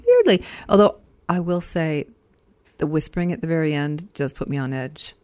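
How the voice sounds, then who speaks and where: A woman with a low-pitched voice hosts a radio show in a casual, chatty way. Her delivery is expressive and playful, and the sound is close and dry. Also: moderate pace, dry recording. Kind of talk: casual on-air chat.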